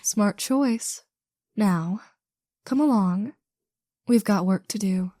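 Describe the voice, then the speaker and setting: A woman's voice: medium pitch, slow, dark tone, no room sound, studio quality. A woman playing a haughty, sardonic famous actress with an imperious drawl in a scripted roleplay. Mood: smug. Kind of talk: scripted roleplay audio drama.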